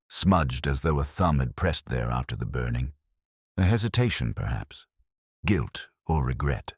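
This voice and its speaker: Male narrator for detective fiction: extremely low voice, lively intonation, measured literary delivery, close dry sound. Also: breathy edge, no echo. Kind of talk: scripted first-person detective story narration.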